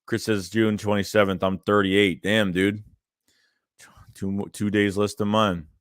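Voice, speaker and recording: A man's voice: lowish voice, level tone, measured pace, clean tone, no echo, clean studio sound. A young man hosting a casual fan livestream, reading chat messages and rambling loosely with lots of "man" and "dude". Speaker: fan channel host reading live chat.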